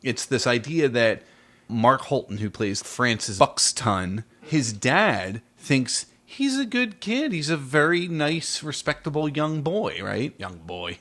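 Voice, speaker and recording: A man's voice: medium pitch, sing-song, slow, sharp tone. Middle-aged man hosting a casual movie chat. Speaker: podcast host. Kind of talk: casual movie discussion with a child.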